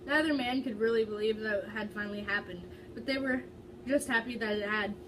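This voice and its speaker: A woman's voice: on the high side, a little fast. A young adult woman doing an informal, dramatic amateur story reading, stumbling over the odd word. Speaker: reader of fan fiction. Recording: distant mic with loud background noise.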